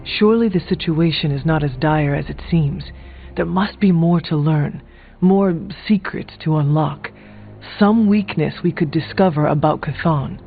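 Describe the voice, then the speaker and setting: Solemn warrior hero, higher-pitched, tinny. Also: male voice, unhurried, vocal fry. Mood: urgent. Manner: formal, uncontracted speech, solemn, blunt. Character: stern, resolute male warrior hero, blunt and grave. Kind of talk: video game character dialogue. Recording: thin phone-like audio, music behind.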